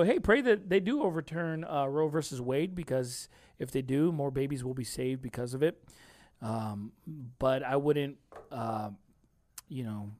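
A man's voice: mid-range voice, no echo. A man in his thirties hosting a podcast, chatting in a laid-back, rambling way and laughing often. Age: 30s. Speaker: podcast host. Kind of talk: casual chat.

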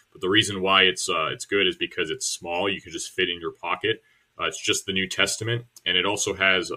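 Young man, deep voice, bright tone, relaxed teaching delivery. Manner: relaxed, plain-spoken, many fillers. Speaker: church member teaching soul winning. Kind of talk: casual instructional video.

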